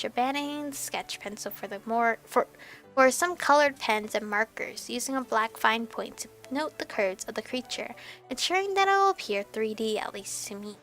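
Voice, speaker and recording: Young woman let's player reading out a visual novel, high bright voice, expressive, music in background. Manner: expressive, animated. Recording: music behind the voice.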